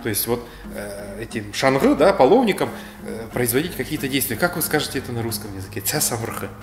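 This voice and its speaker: A man in his thirties from Kalmykia, speaking as a history speaker in a measured, lecturing way, seated in a bright indoor room. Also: mid-range voice, very animated. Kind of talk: reflective explanatory talk. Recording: roomy echo, faint music.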